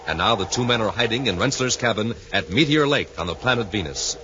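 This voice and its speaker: A man's voice: on the low side, expressive, fairly quick. A man narrating a 1950s radio adventure serial in a suspenseful, declamatory announcer style. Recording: muffled, noisy 1950s radio recording.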